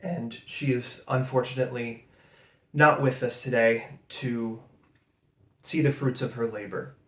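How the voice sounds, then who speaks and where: A man's voice: mid-range voice, steady tone, a little slow, a bit bright. Earnest man in an echoing room. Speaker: director introducing an audio play. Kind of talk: spoken tribute and introduction. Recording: roomy, echoing sound.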